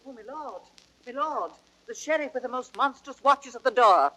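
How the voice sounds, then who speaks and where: A woman playing a flustered, excitable tavern servant in old radio Shakespeare style. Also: high-pitched, moderate pace, a little hiss or hum, poor audio. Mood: flustered alarm. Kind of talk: 1930s radio Shakespeare acting.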